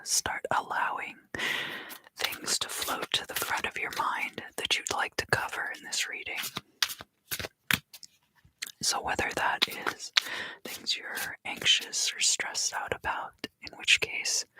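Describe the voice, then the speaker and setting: A woman whispering a soothing ASMR tarot reading in a breathy, mostly unvoiced voice, taking her time. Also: sharp tone, dry recording, rough recording. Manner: soothing, unhurried.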